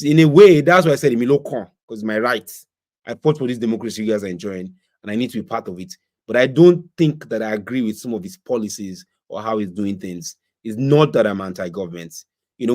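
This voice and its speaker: A Nigerian man hosts his own livestream and talks through the news in a loose, conversational way. His voice sits at an ordinary middle pitch. He leans on pointed rhetorical questions and mixes pidgin phrases into his English. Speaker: livestream host. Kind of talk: casual political commentary. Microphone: streaming setup.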